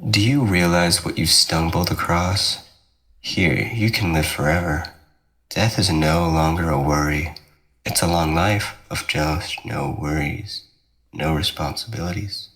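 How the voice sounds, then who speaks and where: Man playing a deep, teasing young ghost twin. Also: fairly even intonation, a bit bright, rough recording. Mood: coaxing menace. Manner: taunting, measured, darkly coaxing. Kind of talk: ASMR roleplay drama.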